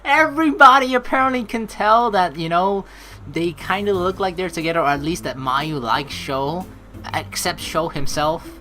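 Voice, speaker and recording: A woman gives an animated let's play commentary in a lowish, lively voice. She shifts between reading narration and reacting excitedly. Soft music plays behind her. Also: normal speed. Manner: animated, exclaims and reacts to the story.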